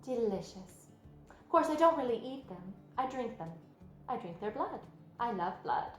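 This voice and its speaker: A woman acts out a storybook lady spider, high and thin of voice. She is pleasant and precise, calmly bloodthirsty and sure of herself, swooping through her words over music. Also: moderate pace, close to an everyday voice. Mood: relishing, pleasant. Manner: matter-of-fact, self-assured, precise. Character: pleasant, bloodthirsty female spider, clever and unapologetic. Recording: roomy sound with music underneath.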